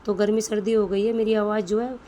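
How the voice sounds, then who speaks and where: A woman's voice: mid-range voice, little pitch movement, fast, warm tone, slight background noise. Chatty woman vlogger with a sore throat. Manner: chatty, homely, addresses viewers directly. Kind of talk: casual home vlog voice-over.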